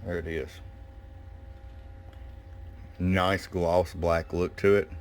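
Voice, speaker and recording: A man giving a casual, hands-on product review in a folksy, unhurried way with pauses. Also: low-pitched, level tone. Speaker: shop owner presenting product. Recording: clear background noise and room ambience. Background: background noise.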